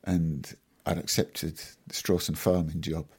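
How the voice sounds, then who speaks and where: A man in his sixties from Yorkshire, a farmer being interviewed on a podcast, telling stories in a measured, modest way with dry humour. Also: very deep voice, little pitch movement, darkish tone, husky. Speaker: guest farmer. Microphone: podcast microphone.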